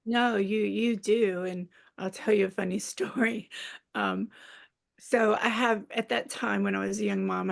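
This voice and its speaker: Middle-aged woman author guest, gravelly mid-pitched voice, lively anecdotal storytelling. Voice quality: slightly raspy. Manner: animated storyteller, laughs a lot. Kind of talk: casual storytelling in an interview.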